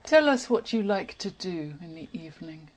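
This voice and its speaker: Husky-voiced woman examiner. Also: fairly low pitch, very animated, a little mellow, low-quality recording. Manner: measured, reads instructions evenly. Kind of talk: reading exam prompts.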